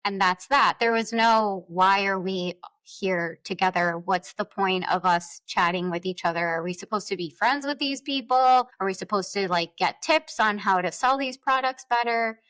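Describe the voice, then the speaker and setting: A woman talks as a podcast guest in a conversational, emphatic manner. Her voice sits at a middle pitch, and the sound is very dry with hardly any room to it. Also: moderate pace, few pauses, a bit bright, no echo. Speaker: podcast guest, influencer marketer. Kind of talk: conversational anecdote and advice.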